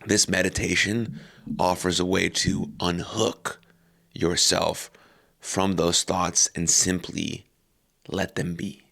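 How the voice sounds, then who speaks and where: A man leading a guided meditation calmly and unhurriedly, in short phrases with long pauses. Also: low voice, vocal fry.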